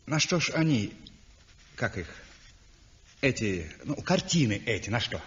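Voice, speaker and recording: A man's voice: medium pitch, sing-song, a little slow. An actor playing a simple, puzzled peasant boatman with a folksy, hesitant way of talking, in a 1960s radio drama. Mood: naive wonder. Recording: dull, noisy vintage radio recording.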